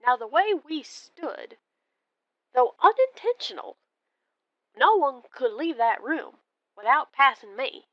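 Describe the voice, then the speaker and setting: A woman narrating a first-person ghost story in a deliberate, expressive, dramatic way. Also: high voice, dry recording, muffled recording. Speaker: storyteller calling in to a horror radio show.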